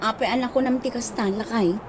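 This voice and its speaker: A questioning wife voiced by a woman with a high voice, reasoning in radio drama style. A faint noise sits behind her. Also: steady tone, brisk, natural voice. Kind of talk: comedy radio drama acting in Ilocano.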